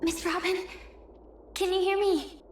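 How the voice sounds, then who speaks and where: An actress voicing a sweet, secretive young woman in a video game, soft and hesitant, with an echoing voice. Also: very high voice, little pitch movement, a little slow, slight background noise. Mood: gentle, secretive. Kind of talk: video game voice acting, English dub. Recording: echoey, long reverb on voice. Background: faint background music.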